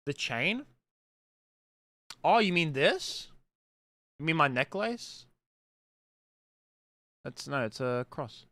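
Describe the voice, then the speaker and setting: A man's voice: a little high, sing-song, slow pace, pauses often, sharp tone, no room sound. A man in his late twenties with a mild Australian accent, chatting casually as a streamer and answering viewer comments in a blunt, profane way, with lots of fillers. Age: late 20s.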